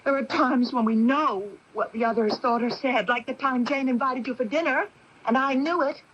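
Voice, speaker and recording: A woman's voice: sits high, moderate pace, a bit bright, dull audio. An actress playing a bitter, accusing young woman with a split self in a 1980s radio drama, with intense, theatrical delivery. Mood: resigned, bitter. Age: young adult. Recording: noisy 1980s AM radio broadcast.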